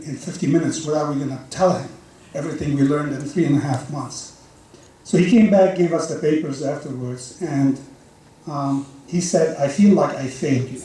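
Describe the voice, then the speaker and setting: Man, slightly high voice, earnest storytelling speech, echoey banquet hall, distant mic. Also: slight background noise. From Lebanon. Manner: storytelling, earnest, warm-hearted. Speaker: board chairman giving a speech. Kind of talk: speech at a community dinner.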